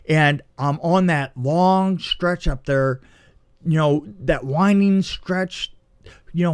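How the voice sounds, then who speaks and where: Male podcast co-host, voice on the higher side, casual and animated raconteur, repeats himself for emphasis. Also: smooth. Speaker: podcast co-host and film production designer. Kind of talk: casual movie review chat and anecdotes.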